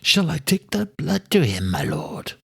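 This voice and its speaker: A man's voice: on the high side, wildly swooping pitch, unhurried, gravelly, pushed and bright, slightly rough, voice pushed up, exaggerated voice. A man voicing a deferential, obedient manservant who reveres his vampire lord, in an audiobook. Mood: reverent, servile. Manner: deferential, servile. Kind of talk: audiobook character voice.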